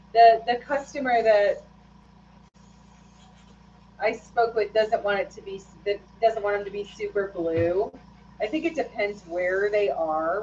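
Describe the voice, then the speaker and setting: A woman's voice: medium pitch, darkish tone, dull audio, a little hiss or hum. Rambling woman chatting while she paints. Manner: rambling, hesitant, many fillers and restarts. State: slightly hoarse after losing her voice. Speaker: lure painter streaming live. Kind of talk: casual live-stream chat while working.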